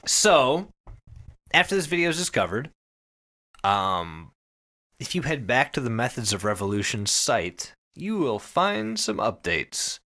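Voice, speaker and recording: A man with a bright, forceful voice retells a story as a podcast host. His delivery is casual and full of swearing, with pitch swinging widely as he goes. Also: measured pace. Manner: profane, animated retelling. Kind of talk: casual storytelling recap with co-hosts.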